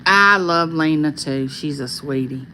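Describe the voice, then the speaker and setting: Calm Southern woman chatting on a livestream. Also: low voice, unhurried, a little hiss or hum, low-quality recording. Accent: Southern US, Arkansas. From California. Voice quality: calming voice. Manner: reads viewer comments aloud and answers them warmly by name. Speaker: livestream host. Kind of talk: casual livestream chat.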